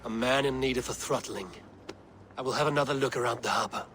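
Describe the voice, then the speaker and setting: A man playing a steady, blunt Norse warrior in a video game, speaking in terse, deliberate phrases. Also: medium pitch, slight background noise, lightly acted. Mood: purposeful. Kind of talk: dramatic video game dialogue.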